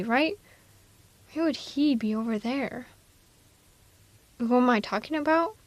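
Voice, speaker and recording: A woman's voice: on the high side, dry recording, natural voice. A woman playing a sardonic but protective villainess in an intimate ASMR audio roleplay, coaxing one listener in a wry, persuasive way. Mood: exasperated, probing.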